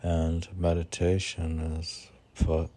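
A man's voice: low voice, completely flat delivery, takes it slowly, warm tone. A man informally reading poems and commenting on them in a rambling, conversational way, posing questions and answering them himself.

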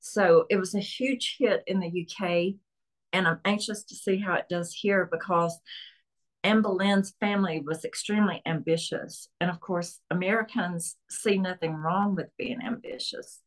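A woman's voice: fairly low pitch, fairly even intonation, normal speed, rough recording. A woman from Tennessee with a Southern accent, a historian and author chatting as a guest on a podcast interview. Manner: chatty, friendly, with frequent restarts.

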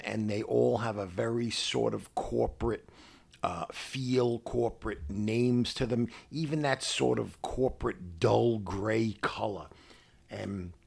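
A middle-aged man with a New York accent, casually explaining things as a podcast co-host in a measured, halting way. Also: medium pitch, creaky, darkish tone, slightly rough.

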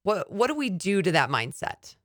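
Low-voiced enthusiastic podcast host woman. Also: sing-song, moderate pace, fairly bright tone, no echo, studio quality. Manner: enthusiastic, leading questions. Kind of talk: podcast interview.

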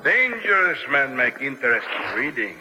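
An actor playing a proud, courteous South American prison colonel with a Latin American Spanish accent and a formal, officious manner in a radio drama. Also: male voice, medium pitch, big pitch swings, a little slow, long reverb tail, noisy background.

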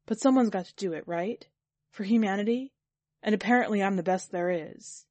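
A woman plays a gentle man in an audiobook story, calm and resigned. The delivery is soft-spoken and the sound is dry and close. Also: medium pitch, moderate pace, dry recording, lightly acted. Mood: calm, assured. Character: gentle, resigned man volunteering for a lonely mission.

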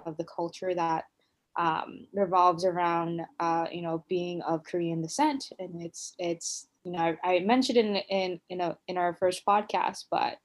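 A woman talks through her own story on a podcast, in a slightly low voice. She is reflective and unhurried, pausing often on "um" and "you know" and restarting her sentences as she gathers her thoughts. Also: darkish tone. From United States. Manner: reflective, many fillers and restarts. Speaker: podcast co-host. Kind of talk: casual conversational storytelling.

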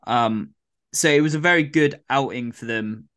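Croaky guy chatting on a podcast, lots of ums and restarts. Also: medium pitch, normal speed, a bit bright. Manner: rambling, many fillers and restarts. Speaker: podcast co-host. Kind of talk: casual podcast chat.

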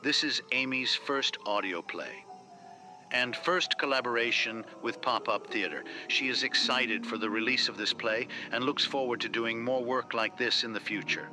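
A man's voice: medium pitch, moderate pace, over music, poor audio. A man reading a scripted introduction as a podcast presenter.

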